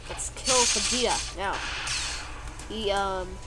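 A woman's voice: medium pitch, slow, heavy background noise. A woman with a congested, sniffly voice, chatting casually and rambling while she plays a game, playfully complaining whenever she gets hit. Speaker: gameplay video creator. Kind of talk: casual gameplay commentary. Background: loud game music.

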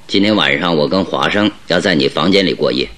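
A man playing a shrewd, calm detective in a Mandarin radio drama, speaking deliberately and with emphatic instruction. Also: extremely low pitch, noisy background. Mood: firm, instructing. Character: shrewd, commanding male detective, calm and exacting.

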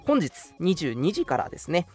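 A man's voice: on the high side, huge pitch swings, normal speed, a little mellow, slightly rough. A man hosting a casual radio show, chatting politely and making announcements over background music.

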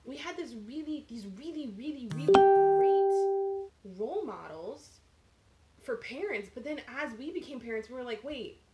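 A woman's voice: high voice, expressive, normal speed, highs cut off, over loud music. A woman in her thirties co-hosting a podcast, chatting animatedly, laughing and restarting her sentences. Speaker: podcast co-host. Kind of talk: casual chat.

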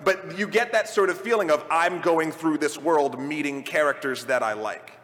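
A man speaking informally and emphatically as a panelist in an animated live discussion about games and films. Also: a little high, moderate pace. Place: large indoor convention panel room. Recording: echoey large hall, live panel sound.

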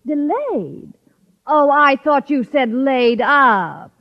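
Smug rival woman teacher, high syrupy voice, catty, flirtatious, vintage radio sitcom, muffled sound. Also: animated, extremely slow, full character voice. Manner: syrupy politeness with barbed digs. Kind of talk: 1950s radio sitcom acting. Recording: muffled old radio recording, studio audience.

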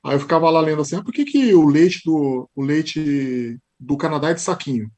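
A man's voice: a little high, expressive, a little fast, darkish tone. A Brazilian man with a São Paulo accent, a podcast guest who tells stories in casual chat and acts out the dialogue he quotes. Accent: São Paulo Portuguese.

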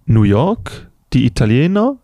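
A young man co-hosting a casual podcast, often pausing on filler sounds and laughing easily. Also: mid-range voice, sing-song, dark tone, dry recording, studio quality. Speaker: podcast co-host. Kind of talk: casual chat.

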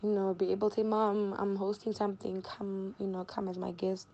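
A woman's voice: mid-range voice, little pitch movement, fairly quick, creaky, warm tone, highs cut off. Young Zimbabwean woman chatting casually. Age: early 20s. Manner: chatty, informal, repeats phrases for emphasis. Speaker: student interview guest. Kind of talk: casual conversational interview answers.

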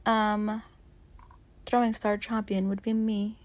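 A woman's voice: mid-range voice, flat delivery, slow pace, darkish tone, no room sound. A woman calling in to a live show, chatting casually in a sassy, slangy, playful way. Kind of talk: casual chat.

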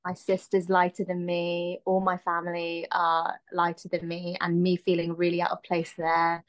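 Guest singer, woman in her thirties, slightly low voice, thoughtful and rambling delivery. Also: completely flat delivery, moderate pace. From Filipina-Sri Lankan, raised in the UK. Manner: reflective, lots of "um" and "like". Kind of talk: casual interview conversation.

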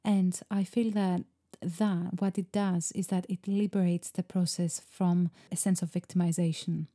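Mellow, meditative woman with Greek roots. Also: lowish voice, level tone. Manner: slow, meditative, musing delivery. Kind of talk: reflective spoken monologue.

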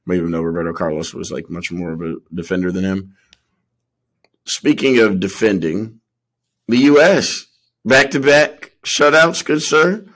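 A man with a low voice talks sports as a podcast co-host. His delivery is informal and slangy, and he repeats himself to drive a point home. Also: animated, measured pace, fairly bright tone. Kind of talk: casual sports chat.

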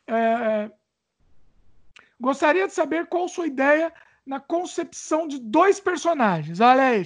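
A chatty woman with a Brazilian accent who hosts the talk and reads viewer questions aloud. She often stumbles over words and laughs them off. Her voice sits high. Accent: Brazilian Portuguese. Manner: chatty, stumbles over reading, jokes about her age. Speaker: host reading viewer questions. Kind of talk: casual chat.

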